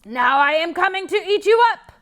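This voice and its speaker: A woman voicing a horrid, hungry old bridge troll in a storybook, roaring menacingly with drawn-out words. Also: extremely high pitch, lively intonation, fairly bright tone, voice pushed up. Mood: threatening, hungry.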